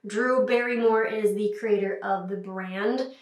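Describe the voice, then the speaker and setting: A woman's voice: mid-range voice, steady tone, a little slow, a little mellow. A young woman with a stuffy cold voice, chatting upbeat through a makeup tutorial with frequent fillers. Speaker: beauty vlogger. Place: small room indoors. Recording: echoey room.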